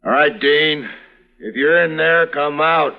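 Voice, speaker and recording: A man's voice: fairly high pitch, takes it slowly. A cold, menacing gunman. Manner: cold and threatening. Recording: dull old radio recording.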